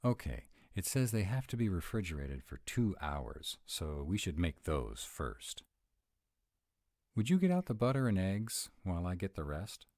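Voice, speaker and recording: Grumpy tsundere were-dragon played by a man, roleplay audio. Bass-deep with lively swings, fussy about rules, dry close sound. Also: dry recording. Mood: fussy, cooperative. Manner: fussy, exasperated, drawn-out emphatic phrasing. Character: grumpy, rule-bound male were-dragon, exasperated tsundere boyfriend.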